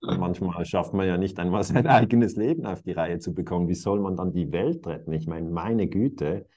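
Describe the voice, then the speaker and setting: A man's voice: low-pitched, moderate pace, dark tone. A man in his fifties talking reflectively in conversation, musing aloud and chuckling now and then. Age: 50s.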